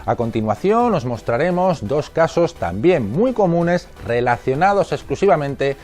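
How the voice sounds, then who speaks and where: A man's voice: fairly high pitch, lively intonation, measured pace, a little mellow, faint music behind. A man with an accent from Spain reading scripted instructional narration in a methodical, didactic manner. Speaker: narrator of a product explainer.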